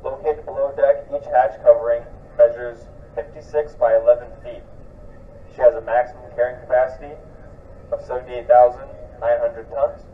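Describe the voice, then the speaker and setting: A man's voice: medium pitch, steady tone, moderate pace, phone-quality sound. A man announcing a ship to onlookers over a public address system, steadily reading facts. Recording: distant loudspeaker with faint noise.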